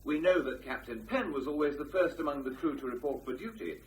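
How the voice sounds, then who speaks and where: A probing male news commentator in a radio play, acted out by a man. His voice is slightly high and varies widely, full of insinuating questions, over faint noise. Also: animated, brisk, close to an everyday voice, rough recording. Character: probing broadcast news commentator on an air crash.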